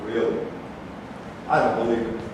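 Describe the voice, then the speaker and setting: A man's voice: lowish voice, expressive, normal speed, close to an everyday voice. Guy acting a dismissive sarcastic arguer on stage, noisy recording, way off the mic. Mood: combative. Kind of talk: staged reading of scripted dialogue. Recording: echoey hall, distant mic, noisy.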